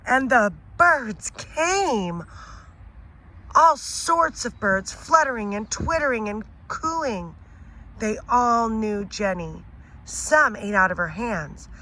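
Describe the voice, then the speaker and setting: A woman teacher reading a children's picture book aloud, expressive and pausing to ask the listener questions. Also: a little high, poor audio. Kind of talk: children's read-aloud story.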